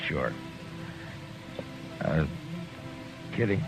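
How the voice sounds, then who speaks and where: An actor playing a grim, determined frontier marshal in a 1950s radio western, speaking quietly and tersely. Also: male voice, rumbling low, big pitch swings, extremely slow, gravelly, music in the background, full character voice. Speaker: marshal and narrator. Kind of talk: 1950s radio drama acting. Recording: dull lo-fi vintage radio recording.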